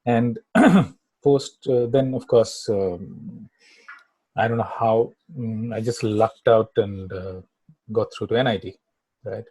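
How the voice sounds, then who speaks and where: A middle-aged man with an Indian English accent, a native Bangla speaker, tells his story as an interview guest. He rambles, leans on fillers and keeps asking "right?". His voice is slightly husky and sounds dry and close, with no room echo. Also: lowish voice, slow pace, darkish tone. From Kolkata, India. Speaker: guest filmmaker. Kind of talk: casual interview chat.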